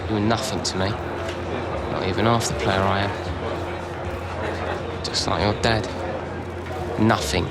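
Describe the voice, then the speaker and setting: An actor with a British accent playing an arrogant young star footballer in a game. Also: male voice, lowish voice, takes it slowly, pauses often. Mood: scornful. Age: young adult. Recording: game cutscene with loud outdoor traffic noise.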